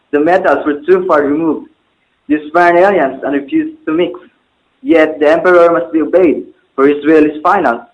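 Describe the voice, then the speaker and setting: A man's voice: on the high side, close to an everyday voice. A man playing an anxious, solemn old Chinese mandarin father in an amateur drama, speaking formally and declaiming his lines. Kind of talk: stagy amateur stage-play recitation. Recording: dull lo-fi amateur recording.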